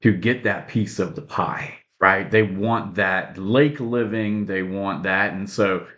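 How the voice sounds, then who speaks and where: A man with a somewhat low voice hosts a casual interview. He is affable and keeps chiming in with quick words of agreement. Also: a bit bright, muffled recording. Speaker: interview host.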